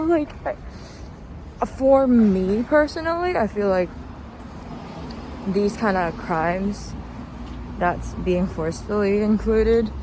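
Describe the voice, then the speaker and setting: A young adult woman giving casual, rambling commentary as a vlogger, informal and hesitant, with trailing thoughts, recorded outdoors while walking. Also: fairly high pitch. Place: outdoors, walking along a street. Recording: noisy outdoor recording with traffic ambience. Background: clear street and vehicle noise.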